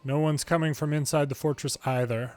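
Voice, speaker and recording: A man with a fairly high voice reads out lines from a story game. Game music runs underneath his reading. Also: fairly even intonation, fairly quick, a little mellow. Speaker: game streamer reading the dialogue aloud. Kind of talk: reading translated game dialogue aloud.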